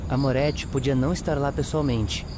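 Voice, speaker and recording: A man plays an earnest detective's sidekick in an audio drama. His mid-pitched voice is conversational and inquisitive, with clear ambient noise and crickets behind it. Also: steady tone, fairly quick, lightly acted. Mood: puzzled, curious.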